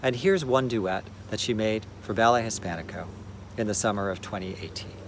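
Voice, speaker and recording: A man with a fairly low voice delivers a measured, formal introduction to a dance piece as festival director. His words are prepared and even, with faint outdoor traffic sounds behind him.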